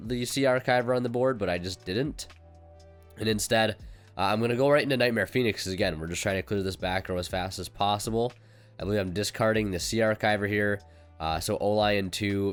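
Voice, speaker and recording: Low-voiced man with a cold, commentating. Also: smooth. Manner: relaxed and conversational, many fillers and self-corrections. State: has a cold, voice slightly off. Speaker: channel host commentating his own card game match. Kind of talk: casual voice-over commentary. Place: voice-over recorded separately from the match footage.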